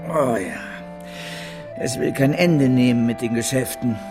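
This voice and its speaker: An actor playing a self-assured, scheming financier in radio drama dialogue. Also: male voice, medium pitch, animated, unhurried, over music, lightly acted. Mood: weary, evasive.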